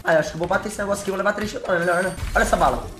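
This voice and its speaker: A young man with a Brazilian Portuguese accent streaming a game from his bedroom on a headset, joking with chat and breaking into shouted mock-English boasts. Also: high voice, rapid-fire, reverberant, over music. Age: young adult. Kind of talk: live gameplay commentary. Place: bedroom with gaming chair.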